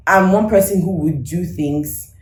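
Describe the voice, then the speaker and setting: A woman from Nigeria, co-hosting a casual couples chat, talking in an animated, emphatic way. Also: fairly low pitch, a little slow, reverberant, a little hiss or hum. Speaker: co-host.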